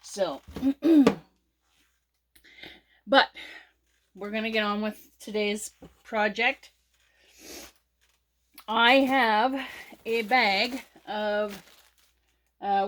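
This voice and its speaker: A woman walks through a yarn-winding tutorial in an easygoing, unhurried way. She is sniffly from a cold, and her speech comes slowly, with frequent pauses and filler words. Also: mid-range voice. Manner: slow, chatty, with many fillers and pauses. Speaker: crochet channel host. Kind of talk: casual crafting tutorial.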